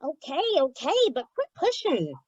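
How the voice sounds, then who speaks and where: A woman's voice: sits high, huge pitch swings, moderate pace, darkish tone, no room sound, muffled recording. A woman playing a streetwise, pushy small-time informant in old-time radio gangster style, slangy, indignant and grumbling. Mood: grumbling reluctance.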